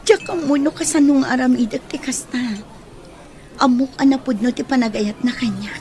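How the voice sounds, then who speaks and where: A woman's voice: sits high, steady tone, moderate pace, mellow, a little hiss or hum. A woman playing a guarded, troubled young woman in an audio drama, emotional and defensive, with a Filipino accent. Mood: pained, resigned. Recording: busy crowd sounds behind voice.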